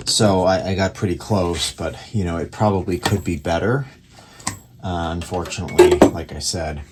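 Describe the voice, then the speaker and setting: A man with a very low voice presents a casual, hands-on repair walkthrough. He speaks haltingly, thinking aloud as he works. Steady background noise and small clicks sit behind his words. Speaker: radio repair hobbyist and presenter.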